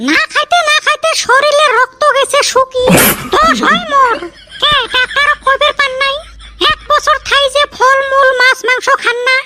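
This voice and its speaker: Extremely high, shrill nagging village wife. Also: female voice, fairly even intonation, moderate pace, noisy background. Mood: scolding. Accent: northern Bangladeshi Rangpuri. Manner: scolding, complaining. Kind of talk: comedy cartoon voice acting in rural dialect.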